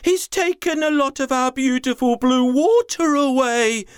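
A woman voicing an excited, bossy male chief elephant in a children's story, loud and exclaiming. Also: fairly high pitch, takes it slowly, no echo, studio quality, pitched above the performer's own voice. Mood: alarmed.

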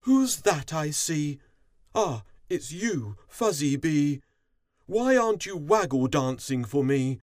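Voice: medium pitch, big pitch swings, unhurried, a little mellow, no room sound, clean studio sound, pitched above the performer's own voice. A storybook narrator voicing a cross, bossy queen bee who commands with regal authority. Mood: cross, booming.

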